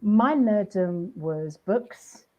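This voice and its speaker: A woman's voice: mid-range voice, lively intonation, drawn-out words, warm tone. A middle-aged woman from the UK with a British accent, chatting animatedly as a podcast guest with frequent restarts. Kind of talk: casual podcast chat. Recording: dull lo-fi sound.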